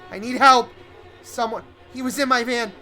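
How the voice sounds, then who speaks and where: A man's voice: very high voice, steady tone, normal speed, bright, forceful tone, voice pushed up, exaggerated voice. A man voicing an anxious traveler and dog owner in a horror story, with a nervous, urgent delivery. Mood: panicked, pleading. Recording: prominent music under the voice.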